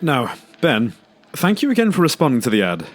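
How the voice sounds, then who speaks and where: A man's voice: medium pitch, huge pitch swings, fairly quick. An actor playing a courteous, inquisitive family man, speaking politely and tentatively in an audio drama. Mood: curious, probing. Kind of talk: naturalistic audio drama dialogue.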